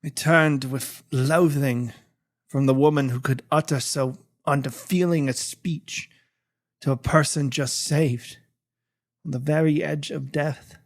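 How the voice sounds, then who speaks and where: A man playing an anguished, guilt-ridden imprisoned gentleman, narrating a literary audiobook in a theatrical, brooding manner. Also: a little high, fairly even intonation, slow pace. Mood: anguished, weary.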